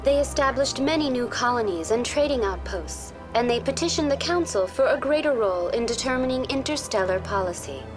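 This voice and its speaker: Casting: actress playing courteous female AI tour guide for a sci-fi game, high pitch, formal scripted delivery, music underneath. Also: animated, brisk, hardly any pauses, natural voice. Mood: polite, informative. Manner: formal, courteous, precisely worded. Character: polite female virtual intelligence tour guide, calm and programmed. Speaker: virtual guide. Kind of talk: scripted informational video game dialogue.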